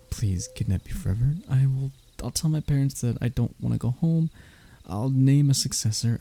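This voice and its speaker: A man's voice: mid-range voice, gravelly, dark tone, slightly rough, exaggerated voice. A man playing a stubborn, scheming, lovestruck young human royal held captive, in a close audio roleplay with flowery wording and flustered stammers. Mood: desperate pleading. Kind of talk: audio roleplay acting, addressing the listener closely.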